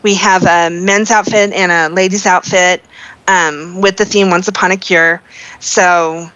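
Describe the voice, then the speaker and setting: A woman's voice: fairly low pitch, steady tone, unhurried, fairly bright tone, dry recording, muffled recording. A woman giving earnest, casual interview answers as a member of a charity team. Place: online voice chat in a virtual world.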